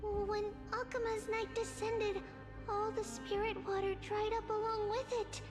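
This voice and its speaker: A woman playing a gentle, serene little girl seer in a video game, speaking in a composed, caring way. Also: very high voice, little pitch movement, a little slow, music in the background. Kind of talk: scripted video game acting.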